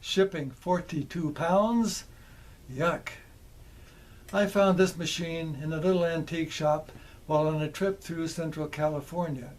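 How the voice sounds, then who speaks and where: An older man in his seventies narrating a scripted demonstration in a measured, methodical way. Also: on the high side, steady tone. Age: older, around 70s. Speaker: presenter of vintage electronics. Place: small indoor electronics workshop.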